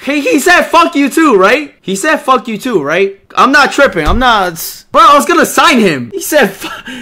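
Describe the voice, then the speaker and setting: A young man hosting a livestream, running team tryouts in casual, animated chat and yelling in disbelief. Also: very high voice. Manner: animated, quick to yell in disbelief.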